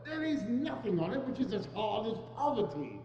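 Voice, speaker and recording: A man performs a miserly man in a stage reading, defensive and full of regret, his pitch swinging widely. The sound is dull and echoes around a hall. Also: very animated, normal speed, mellow, faint music behind. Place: church hall, dramatic reading before microphones. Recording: dull, noisy, echoing church hall.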